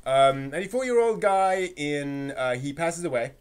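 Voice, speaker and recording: A man with a somewhat high-pitched voice hosts a casual news chat. He talks haltingly and agreeably, often echoing the people he is chatting with. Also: clean tone. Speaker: host presenting anime news.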